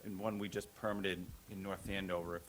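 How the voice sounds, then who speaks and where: Halting, earnest man, low-pitched. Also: little pitch movement, rough recording. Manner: earnest, halting, with many restarts. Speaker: property developer presenting to a town board. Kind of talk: public meeting remarks. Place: town meeting room.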